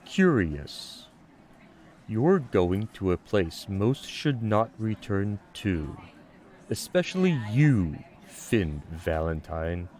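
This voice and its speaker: A man playing a solemn, cryptic skeletal god in an audio drama, making slow and grandiose oracular pronouncements. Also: lowish voice, big pitch swings, a little mellow. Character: solemn, cryptic skeletal coffee god granting time travel.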